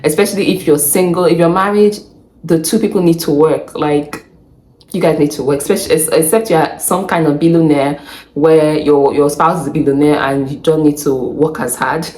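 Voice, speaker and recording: A young woman with a Nigerian accent giving casual advice in a vlog, chatty and quick to laugh, repeating points for emphasis. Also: low voice, fairly even intonation, darkish tone. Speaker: vlogger. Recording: echoey room.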